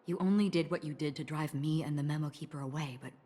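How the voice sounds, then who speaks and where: An actress playing a calm, enigmatic swordswoman in a game cutscene, somber and resolute, with measured, restrained delivery. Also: low-pitched, close to an everyday voice. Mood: probing, composed. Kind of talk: dramatic game cutscene acting. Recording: long reverb, faint noise.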